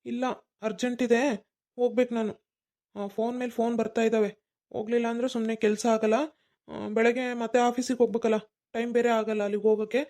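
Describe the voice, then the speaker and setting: A woman's voice: a little high, level tone, dark tone, lightly acted. A woman voicing an evasive young man who brushes off his mother, in a cartoon. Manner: hurried, brushing off questions.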